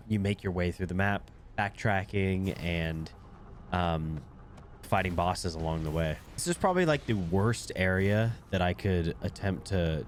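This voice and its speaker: Stuffy nosed young guy rambling about a game he's playing, kinda low voice, faint hum behind. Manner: laid-back, rambling with fillers. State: congested, nasal. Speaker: gaming video creator. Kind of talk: casual gameplay commentary. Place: at his desk playing video games.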